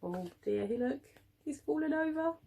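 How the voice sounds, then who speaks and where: A woman reading a picture book aloud to young children, expressively, pausing for little asides to the listeners. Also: high voice, expressive, vocal fry, dark tone. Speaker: early years teaching assistant reading a storybook.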